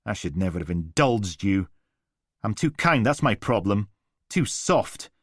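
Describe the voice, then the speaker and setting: A man's voice: on the low side, sing-song, a little slow, fairly bright tone, husky, dry recording, clean studio sound, heavily acted. A man voicing a drunken, cruel, volatile father whose menace rises into bellowing rage. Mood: bitter.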